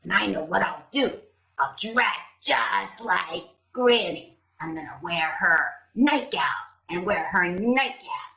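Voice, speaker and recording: A woman reading a storybook, voicing a sly male wolf with a low, slow drawl, in a dull, echoey room. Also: animated, gravelly, pushed and bright, exaggerated voice.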